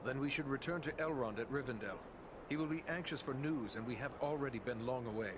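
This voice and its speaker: An actor playing a calm, knowledgeable adventurer in a fantasy game, speaking in a measured, sober way. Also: male voice, medium pitch, background noise, natural voice. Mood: sober, practical. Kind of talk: scripted fantasy game dialogue.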